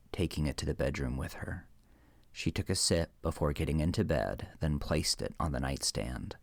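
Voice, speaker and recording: A man narrating a horror story in a dramatic, hushed and deliberate manner with an ominous tone. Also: rumbling low, no room sound.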